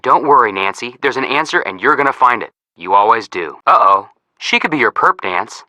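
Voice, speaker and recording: A man's voice: medium pitch, lively intonation, a little fast, bright, forceful tone, no echo, low-quality recording. A man playing a cocky, playful young detective in a video game, with a breezy, wisecracking and theatrical delivery. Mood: teasing, confident. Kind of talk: scripted game voice acting.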